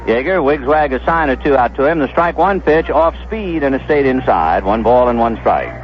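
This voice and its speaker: An American man calling baseball play-by-play on the radio, conversational and descriptive, filling in stories between pitches. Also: lively intonation, moderate pace. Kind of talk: play-by-play commentary. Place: ballpark broadcast booth. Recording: dull, noisy vintage 1970s radio broadcast.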